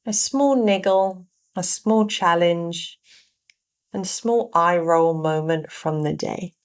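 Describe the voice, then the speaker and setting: A woman guiding a meditation, giving gentle instructions in short phrases with pauses between them. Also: lowish voice, clear, resonant tone. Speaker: meditation guide. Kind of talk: guided meditation narration.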